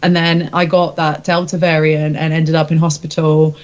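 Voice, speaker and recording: A woman's voice: deep voice, little pitch movement, moderate pace, lo-fi sound. A woman speaking as a singer being interviewed, informal and reflective, feeling her way through candid answers. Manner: informal, hesitant, self-reflective.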